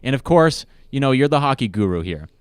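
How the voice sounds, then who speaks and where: A man's voice: medium pitch, big pitch swings, fairly quick, clear, resonant tone, no room sound. A young man hosting a casual sports talk show in a studio, enthusiastic and a little rambling. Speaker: podcast host.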